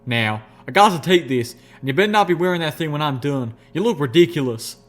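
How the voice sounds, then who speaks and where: A man voicing a fiery, proud country mare with a drawl, shouting in strained, indignant outbursts. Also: a little high, animated, a little fast, close to an everyday voice. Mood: dismissive. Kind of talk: audiobook reading.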